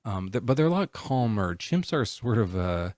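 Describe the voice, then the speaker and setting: Man in his forties, live-stream host, low pitch, dry, halting, rambly. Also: very animated, a little mellow, dry recording. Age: 40s. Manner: rambling, halting, many fillers and restarts. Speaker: livestream host. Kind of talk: casual live-stream chat.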